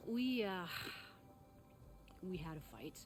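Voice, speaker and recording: A woman's voice: lowish voice, animated, slow pace, darkish tone. An actress playing a worried, guilt-ridden female tinkerer in a video game, speaking in an earnest, wry, conversational way. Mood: anxious, pleading. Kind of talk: video game character acting. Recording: faint music behind the voice.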